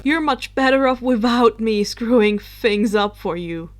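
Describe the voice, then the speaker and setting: Woman doing a sad teen wizard boy in a story, super slow, halting, keeps repeating himself, down on himself. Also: on the high side, natural voice. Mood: sad, resigned. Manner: halting, repeating words, dejected. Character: Harry, dejected self-blaming teenage wizard boy.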